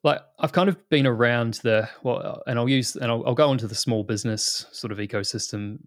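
A man's voice: medium pitch, a little fast, no echo. New Zealand man, dry close sound. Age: 30s. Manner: relaxed and rambling, with many fillers and restarts. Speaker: guest, product manager. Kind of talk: casual interview talk.

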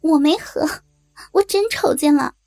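A woman's voice: sits high, a little slow, gravelly, darkish tone, faint music behind, pitched above the performer's own voice. A woman playing a frightened, naive young rural wife with a Northeastern Chinese accent, hesitant and pleading, in an audio drama. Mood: nervous.

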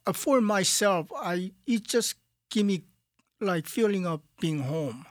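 A man from Korea with a Korean accent, a guest artist in a radio studio interview, speaking calmly and haltingly as he searches for words. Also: high-pitched, no echo. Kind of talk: calm interview talk.

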